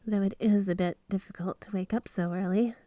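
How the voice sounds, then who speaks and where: A woman's voice: medium pitch, steady tone, a little fast, warm tone, dry recording, natural voice. A woman playing a flirty, obsessively devoted yandere wife in an ASMR roleplay, speaking in a sweet, clingy, gushing way. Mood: tender, needy. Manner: sweet, clingy, breathy giggles, gushing affection. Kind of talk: ASMR roleplay, addressing the listener as spouse. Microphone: close studio microphone, dry.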